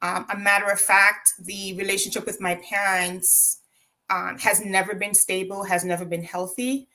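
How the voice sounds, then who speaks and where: Interview guest: Jamaican-accented woman, bright voice, reflective storytelling full of ums and "right?". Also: mid-range voice, fairly even intonation, normal speed. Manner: reflective, with frequent ums and tag questions of "right?".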